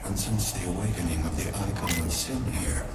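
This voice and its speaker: A grave, ancient godlike spirit with a very low male voice performed by an actor in a video game. The delivery is solemn and portentous, over loud rumbling noise. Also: moderate pace, close to an everyday voice. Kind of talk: video game cutscene dialogue. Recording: very noisy, rumbling background.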